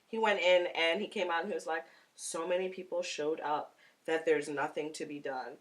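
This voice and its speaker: A woman's voice: low-pitched, steady tone, normal speed, recorded from a distance. A woman from Africa, a guest chatting casually and telling a story with frequent laughter. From South Africa. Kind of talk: casual interview chat.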